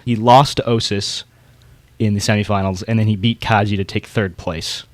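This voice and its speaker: A man's voice: fairly low pitch, steady tone, normal speed, dry recording. A man co-hosting a podcast in casual conversation, talking with informal, slangy enthusiasm. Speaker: podcast co-host. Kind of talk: casual podcast discussion of beatboxing competitors.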